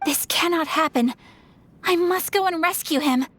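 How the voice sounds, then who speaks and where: A woman's voice: extremely high pitch, moderate pace, a bit bright. A woman playing a refined, earnest young noblewoman in a video game, speaking formally and with poise. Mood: resolute.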